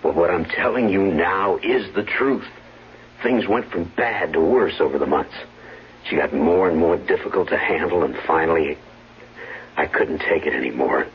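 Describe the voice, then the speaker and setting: A man's voice: sits low, moderate pace, natural voice. A man playing a deceitful, pleading husband in a 1970s radio drama, earnest and protesting. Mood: insistent, pleading. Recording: muffled, noisy 1970s radio broadcast.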